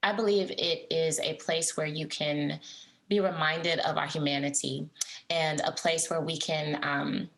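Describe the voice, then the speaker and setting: A young woman from Texas, a Broadway actress, talking earnestly and brightly in an interview, laughing often. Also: low-pitched, steady tone, a little slow, creaky, low-quality recording. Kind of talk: casual interview chat.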